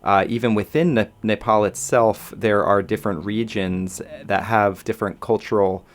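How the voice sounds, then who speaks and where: A man's voice: fairly low pitch, fairly even intonation, moderate pace. A man hosting a casual radio interview, putting curious questions in a tentative, conversational way. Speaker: radio host interviewing a musician. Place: radio studio.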